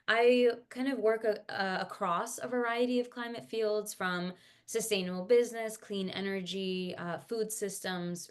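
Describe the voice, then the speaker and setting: Woman hosting an online panel. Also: medium pitch. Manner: warm-up chatter with fillers and restarts, encouraging. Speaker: host and founder of a climate career coaching agency. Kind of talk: webinar hosting.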